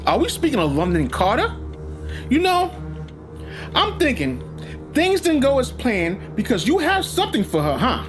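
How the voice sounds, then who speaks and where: Man playing grumbly sardonic police captain in an audio drama, really swingy pitch, bit of music under it. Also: wildly swooping pitch, normal speed, long pauses, full character voice. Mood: taunting, accusing. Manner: needling, sardonic. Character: disgruntled male police captain, accusing, taunting, patronizing.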